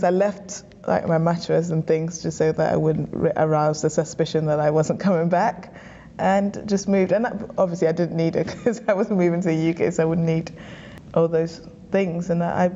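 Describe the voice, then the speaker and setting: Woman telling her story, warm dark tone. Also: lowish voice, lo-fi sound, a little hiss or hum. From Ghana. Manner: chatty, laughs easily, frequent small restarts. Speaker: interview guest. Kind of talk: relaxed personal storytelling.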